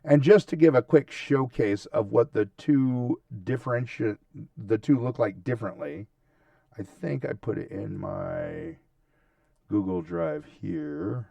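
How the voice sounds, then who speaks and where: A man's voice: mid-range voice, expressive, takes it slowly, gravelly, warm tone, dry recording, recorded from a distance. A man hosting a casual screen-share tutorial, talking through each step informally and often checking in with a short tag question. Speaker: tutorial host.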